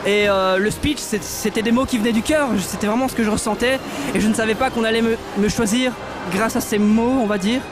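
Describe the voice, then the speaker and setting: A young man in a convention hall answering an interview in a halting, emotional way, searching for words. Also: high voice, noisy background. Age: young adult. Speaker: contest winner interviewed. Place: large indoor convention hall.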